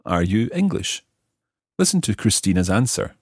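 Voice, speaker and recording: Low-voiced man teaching with lively intonation. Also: husky, no room sound, clean studio sound. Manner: measured, careful articulation of example phrases. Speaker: language teacher and host. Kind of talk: scripted lesson explanation, phrase by phrase.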